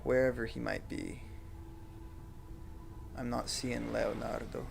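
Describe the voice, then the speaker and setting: A young adult man streaming a game from home, chatting casually and reading viewer comments aloud in a soft, self-deprecating way, speaking into a desk microphone. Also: mid-range voice, little pitch movement, slow pace, gravelly, slight background noise. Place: home room. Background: game music.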